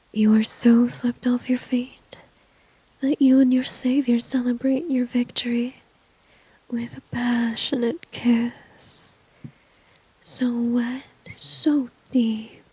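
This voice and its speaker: A woman playing a seductive, dominant female villain in a soft, breathy whispered ASMR roleplay, teasing and smug, close to the microphone. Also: fairly high pitch, no room sound, full character voice, poor audio. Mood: smug, mocking. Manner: slow, teasing, smug, intimate. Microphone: very close, dry ASMR microphone.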